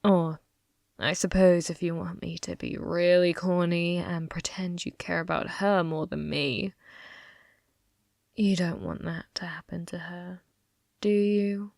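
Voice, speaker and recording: A woman's voice: lowish voice, flat delivery, measured pace, gravelly, a little mellow, dry recording, clean studio sound. A woman playing a possessive young yandere in an ASMR roleplay, teasing and coolly threatening, often dropping into a whisper.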